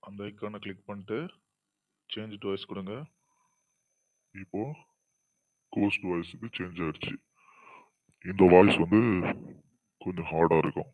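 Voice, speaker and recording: A man's voice: level tone, a little slow, pauses often, phone-quality sound. A man using a voice changer to sound like a hard, heavy ghostly man while giving plain tutorial instructions. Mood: instructional. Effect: voice changer, lowered pitch. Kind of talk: app tutorial demonstration.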